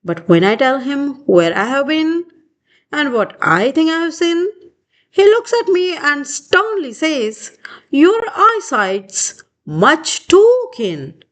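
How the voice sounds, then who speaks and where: A woman reading a children's picture book aloud in an expressive storytelling style. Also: sits high, takes it slowly.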